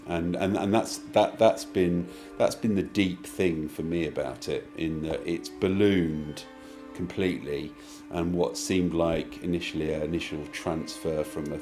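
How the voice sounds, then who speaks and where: A man giving a reflective interview answer, thoughtful and hesitant as he circles through rephrasings. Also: extremely low pitch.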